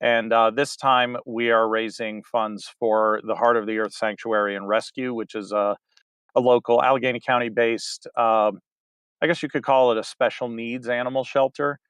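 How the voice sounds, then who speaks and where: Rambling, smooth-voiced director talking at length. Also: male voice, mid-range voice, little pitch movement, moderate pace, fairly bright tone, dry recording. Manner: rambling, many fillers and restarts. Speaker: theater director, guest. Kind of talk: casual interview chat.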